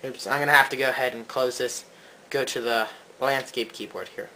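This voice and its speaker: Bright-voiced man reviewing gadgets casually. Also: mid-range voice, steady tone, brisk, a little hiss or hum, low-quality recording. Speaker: co-host, tech reviewer.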